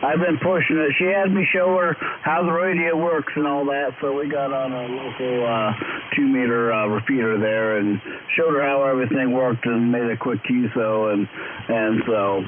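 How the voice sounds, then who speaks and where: A man calling in over amateur radio, chatting casually in a halting, hesitant way. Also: medium pitch, steady tone. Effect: radio transmission. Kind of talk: casual ham radio chat. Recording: narrow tinny radio link, loud static.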